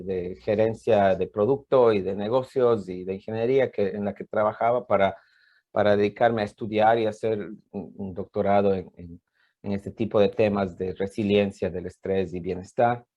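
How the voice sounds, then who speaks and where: A man's voice: on the low side, fairly even intonation, moderate pace, a little mellow, muffled recording. A man with an Ecuadorian accent giving an informal, explanatory conference talk as a professor, hesitant and often repeating words. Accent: Ecuadorian Spanish.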